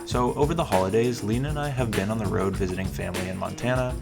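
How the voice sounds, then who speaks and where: A man's voice: fairly low pitch, quick pace, vocal fry. A young man with a North American accent, talking casually and narrating a travel vlog, with music behind him. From Canada and the USA. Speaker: vlogger.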